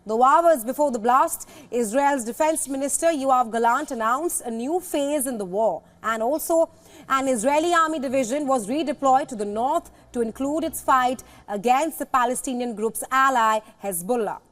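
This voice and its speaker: High-pitched woman reading the news. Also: normal speed. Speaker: news presenter. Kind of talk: scripted news narration.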